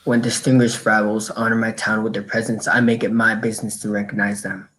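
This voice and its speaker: An actor playing a self-assured French police inspector, formal and unctuous, in a radio drama. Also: male voice, on the low side, little pitch movement, fairly quick, a bit bright, natural voice, low-quality recording. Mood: suave.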